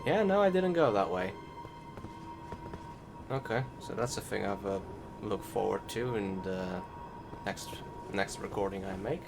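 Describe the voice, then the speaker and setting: A man's voice: fairly low pitch, animated, measured pace, gravelly, pauses often. A man giving casual, rambling gameplay commentary as a voice-over, hesitant, thinking aloud and correcting himself. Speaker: gaming YouTuber. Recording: loud game music behind the voice. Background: loud video game music.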